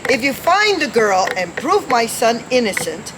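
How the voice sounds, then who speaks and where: A man's voice: extremely high pitch, big pitch swings, measured pace, full character voice. A male actor playing a worried, formal parole official and father, earnest and polite, in an old-time radio detective drama. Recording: noisy, hissy radio play recording.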